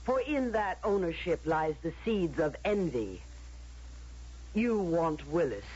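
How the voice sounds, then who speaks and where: An actress playing a stern, coldly persuasive authority figure, lecturing in a deliberate, declamatory way in an old-time radio drama. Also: female voice, lowish voice, very animated, dark tone, slightly rough, heavily acted. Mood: insistent, persuasive. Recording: dull, lo-fi radio drama recording.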